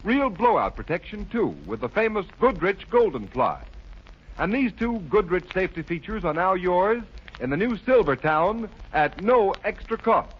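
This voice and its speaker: A man delivers a 1940s radio sales pitch. His slightly high, husky voice swings with animated, persuasive emphasis, on a dull old recording. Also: moderate pace. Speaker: radio advertisement announcer.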